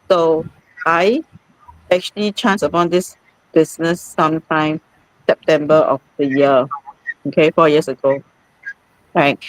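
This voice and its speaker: A woman hosts an informal live stream, speaking haltingly and often rephrasing as she goes. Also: low voice, no echo, rough recording. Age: 40s. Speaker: host.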